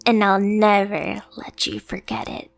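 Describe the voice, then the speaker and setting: A woman's voice: mid-range voice, measured pace, gravelly. A woman voicing a submissive, obsessively devoted stray cat girl in an anime ASMR roleplay, with a girlish, eager, self-deprecating manner. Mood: adoring, obsessive. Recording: music behind the voice. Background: music.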